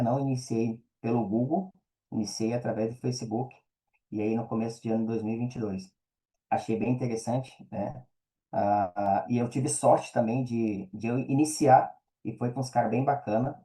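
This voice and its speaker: A man's voice: mid-range voice, mellow, low-quality recording. A man with a Brazilian accent, a podcast guest telling his own story casually in slangy, colloquial speech full of tag questions.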